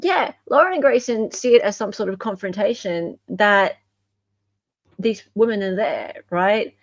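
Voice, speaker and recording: A woman's voice: medium pitch, unhurried, no echo, lo-fi sound. A woman hosting true-crime commentary in a casual, chatty and wry way. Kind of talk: casual chatty commentary on court evidence videos.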